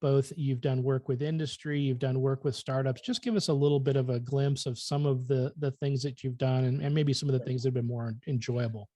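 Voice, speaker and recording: A man's voice: mid-range voice, steady tone, brisk, few pauses, dark tone, no echo, clean studio sound. A man hosting a podcast, chatting casually as he introduces and interviews his guest. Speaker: podcast host.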